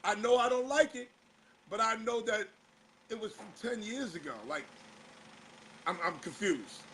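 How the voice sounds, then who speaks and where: Male host, very high bright voice, a bit hoarse, exasperated rhetorical talk-show riffing. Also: unhurried, slight background noise. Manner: fires rhetorical questions, exasperated. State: slightly hoarse. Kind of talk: casual talk-show commentary.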